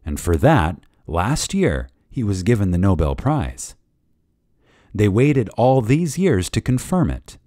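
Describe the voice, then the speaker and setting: Male lecture reader, low mellow voice, lively intonation, reverent, deliberate pauses, emphatic. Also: no echo, studio quality. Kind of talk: dramatic reading of a spiritual lecture.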